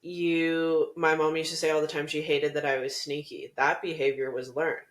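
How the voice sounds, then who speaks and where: Calm man with a fairly high voice. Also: normal speed. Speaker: podcast co-host. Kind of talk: candid podcast discussion.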